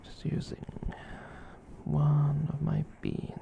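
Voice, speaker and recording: A performer voicing a gentle giant furry catboy in a whispered ASMR roleplay, soft and breathy, rambling tenderly and reassuringly. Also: medium pitch, completely flat delivery, lo-fi sound. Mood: tender, doting. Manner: slow, tender, reassuring rambling with cat-speak.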